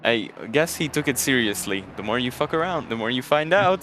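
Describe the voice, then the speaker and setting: A man's voice: mid-range voice, expressive, a little fast, background noise. A man from Hungary with a Hungarian accent, casually commentating a sim racing stream and chatting with viewers. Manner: chatty, jokes and thanks chat viewers. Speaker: race commentator.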